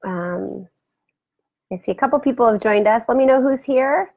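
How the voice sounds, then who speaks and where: Woman with a scratchy, gravelly voice. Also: a little high, normal speed, no room sound, narrow, tinny audio. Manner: chatty, rambling, greets viewers by name. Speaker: host. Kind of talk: casual live-stream chat. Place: home room beside a turtle aquarium. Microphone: webcam for a live video.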